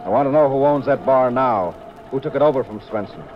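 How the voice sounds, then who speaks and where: Man acting calm confident detective, reticent husband, 1950s radio mystery, muffled and noisy recording. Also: mid-range voice, brisk, natural voice. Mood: pondering.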